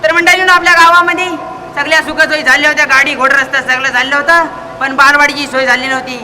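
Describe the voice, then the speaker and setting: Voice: high voice, level tone, quick pace, pushed and bright, a little hiss or hum. A performer playing an earnest village speechmaker, reciting a rehearsed oration in a live school stage comedy. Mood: declamatory. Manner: oratorical, recited, addressing the villagers. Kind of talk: declamatory school stage comedy acting in Marathi.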